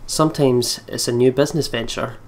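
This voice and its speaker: Male gamer, mid-pitched, narrating visual novel text aloud in a storytelling style. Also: steady tone, a little fast. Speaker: let's play co-host reading aloud.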